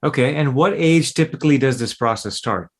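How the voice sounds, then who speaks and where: A male podcast host with an ordinary middle-pitched voice. He leads the interview conversationally. He frames questions plainly and keeps the guest going with short yeahs and mm-hmms. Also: expressive, a little fast. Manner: conversational, encouraging backchannels.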